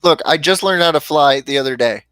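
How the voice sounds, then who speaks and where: Late-20s man, teasing stream host, bright and smooth, close dry sound. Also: on the high side, a little fast, no room sound. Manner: joking, teasing. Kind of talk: casual livestream chat.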